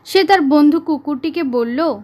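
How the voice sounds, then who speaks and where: A woman narrating a children's animal fable in a deliberate, expressive way. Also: high voice. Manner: slow, deliberate, expressive storytelling. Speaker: storyteller.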